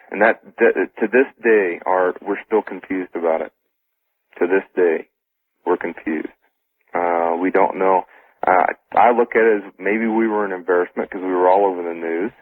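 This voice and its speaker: A man giving an informal first-hand account as a guest on a radio interview, halting and repeating phrases, over a telephone. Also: sits low, breathy edge. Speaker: interview guest, former military intelligence serviceman. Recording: thin phone line on old radio.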